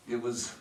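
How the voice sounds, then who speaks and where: A man in a stage play reading, playing a flustered, evasive homeless man with a halting, stammering delivery. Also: a little mellow. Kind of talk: stage play read aloud by readers' theater. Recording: echoey room, distant mic, faint noise.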